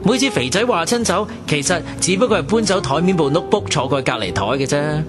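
A man's voice: fairly high pitch, animated, a little fast, lightly acted. A man with a Hong Kong Cantonese accent playing a chatty young narrator who talks wryly about his friends in a radio drama. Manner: wry, colloquial storytelling. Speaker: narrator and character. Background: music.

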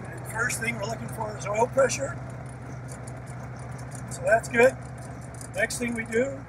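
A man demonstrating a small plane's cockpit, explaining each checklist step in a relaxed, explanatory way as he works the controls. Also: very high voice, measured pace, far from the mic, very noisy. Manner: relaxed, explanatory, talks while working controls. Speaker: aircraft sales demo pilot. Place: small aircraft cockpit with engine running.

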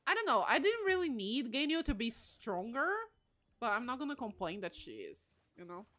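A woman's voice: on the high side, very animated, moderate pace, a bit bright. A woman in her late twenties, a bit tired, chatting casually and candidly as she livestreams a game, giggly and self-deprecating. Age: late 20s.

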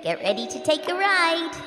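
A woman's voice: extremely high pitch, heavily acted. A woman voicing a creepy, playful, mysterious little girl with a childlike, teasing sing-song voice in an audio drama. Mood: playful, eerie. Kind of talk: in-character audio drama address to listeners. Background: music under the voice.

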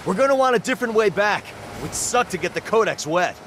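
An actor playing an eager, excitable young gang sidekick in a video game, talking with slangy enthusiasm. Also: male voice, sits high, fairly quick, noisy background. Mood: casual, upbeat.

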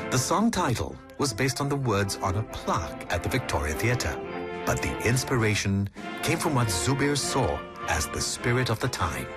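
A man narrating a documentary in a measured, scripted voice. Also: fairly low pitch. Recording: voice-over with loud music bed.

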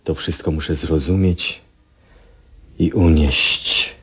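An old, ailing astronomer in a 1970s radio play. His voice is extremely low and husky, and he broods slowly through a lonely monologue with long pauses. The recording is dull and muffled. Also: steady tone, heavily acted. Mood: brooding. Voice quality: husky, hushed. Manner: halting, reflective, with long pauses. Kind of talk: 1970s Polish radio drama acting, introspective monologue.

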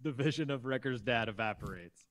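A man's voice: medium pitch, a little fast, a bit bright. A man reading scripted stage directions as the narrator of a table read. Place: indoors on a group video call.

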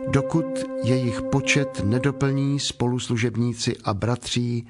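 A man narrating a dramatized scripture reading in a solemn, measured storytelling manner. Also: mid-range voice, fairly even intonation, over loud music.

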